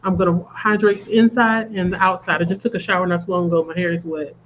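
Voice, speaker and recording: A woman's voice: fairly low pitch, steady tone, fast, highs cut off, a little hiss or hum. A congested woman chatting casually as host of a reading livestream and laughing at her own jokes. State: congested from a cold. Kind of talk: casual chat. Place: at home.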